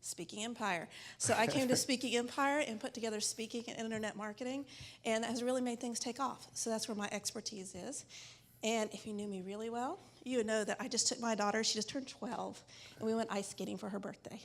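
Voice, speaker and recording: A woman's voice: on the high side, steady tone, a little fast. A middle-aged woman from Texas introducing herself chattily as a guest speaker on stage. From Texas, United States. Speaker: guest speaker, internet marketer. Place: stage at a filmed live event.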